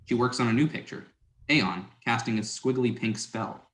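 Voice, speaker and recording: A man's voice: medium pitch, normal speed, a bit bright. A man narrating stage directions in a scripted dramatic reading. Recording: roomy, echoing sound.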